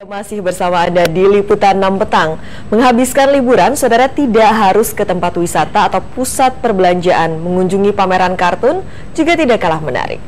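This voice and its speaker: A woman's voice: medium pitch, animated, a little fast. Female news anchor, formal studio delivery, faint background noise.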